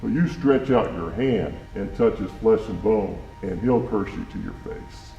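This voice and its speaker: Guy acting as a solemn commanding spirit in a bible play, dark voice, super echoey and far off. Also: fairly low pitch, expressive, a little slow, creaky, highs cut off. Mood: challenging. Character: God and Satan in a dramatized Bible story, solemn and commanding. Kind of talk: church class Bible drama, recited scripture. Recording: very echoey, distant, faint music behind.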